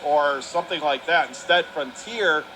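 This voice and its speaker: Guy doing hockey radio play by play, pretty high voice, excitable, some rink noise behind him. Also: moderate pace. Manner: excitable, clipped phrasing. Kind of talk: live ice hockey play-by-play commentary. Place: commentary position at an indoor ice rink.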